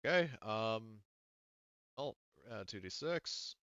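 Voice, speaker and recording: A low, smooth male voice, close and dry on a slightly dull microphone. He works as game master, thinking aloud and pausing often as he sorts out rules for the table. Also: animated, fairly bright tone, no room sound. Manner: halting, thinking aloud while adjudicating rules. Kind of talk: running a tabletop role-playing game.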